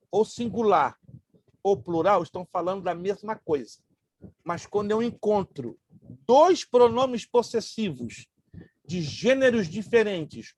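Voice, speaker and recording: A man whose first language is Hebrew, a pastor teaching a Bible class, emphatic and joking, slowly repeating grammar terms. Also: high voice, darkish tone, no echo.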